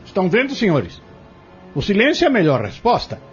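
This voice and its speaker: Casting: male actor for cornered defendant in radio play, indignant, insistent, lively high-set voice; muffled audio over music. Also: fairly quick. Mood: indignant, accusing. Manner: argumentative, rhetorical, insistent. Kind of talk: audio drama acting.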